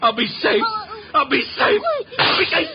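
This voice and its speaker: A man's voice: very high voice, sing-song, measured pace, over a noisy crowd, full character voice. A man acting an unstable, lovesick young man in a 1950s radio drama, melodramatic and intense, repeating his phrases. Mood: deranged, frenzied. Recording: muffled, noisy old radio recording.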